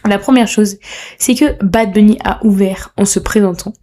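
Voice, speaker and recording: A woman's voice: mid-range voice, normal speed, mellow. A young woman talks through her podcast alone from a room at home. She is chatty and playful, teases herself and gushes with enthusiasm. Her throat is a little sore. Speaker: podcast host. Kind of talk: casual solo podcast commentary.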